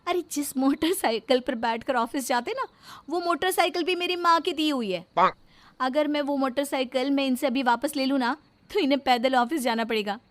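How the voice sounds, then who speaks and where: A woman's voice: high-pitched, normal speed, long pauses, close to an everyday voice. A woman voicing an arrogant, boastful young daughter-in-law in a scripted story, with a haughty, taunting manner. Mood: boastful, mocking.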